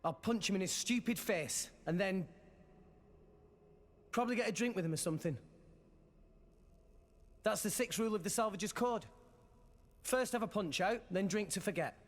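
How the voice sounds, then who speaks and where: A man's voice: sits high, moderate pace, long pauses, lightly acted. An actor playing an earnest, plucky teenage boy salvager in a scripted video game drama, plain-spoken in manner. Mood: determined.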